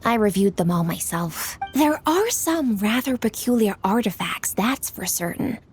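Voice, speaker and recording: A woman's voice: medium pitch, lively intonation, measured pace, a little hiss or hum, lightly acted. A woman playing a composed, dutiful young official in a video game, polite and precise. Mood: polite, informative.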